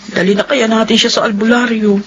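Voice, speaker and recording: Woman playing worried loving filipino mom in a radio drama, high voice, pleading n emotional, bit of background noise. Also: little pitch movement, fairly quick, highs cut off, natural voice. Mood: insistent. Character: anxious, loving mother of a sick daughter.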